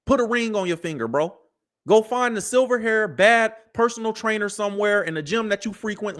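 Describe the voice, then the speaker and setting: A man's voice: high-pitched, a bit bright. A man in his thirties, hosting a commentary show, lecturing his listeners in a preachy, slang-filled way. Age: 30s. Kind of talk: animated live commentary.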